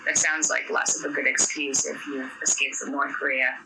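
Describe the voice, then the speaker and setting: A woman's voice: very deep voice, level tone, moderate pace. A woman interviewer who asks questions in a chatty, casual way. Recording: noisy background ambience.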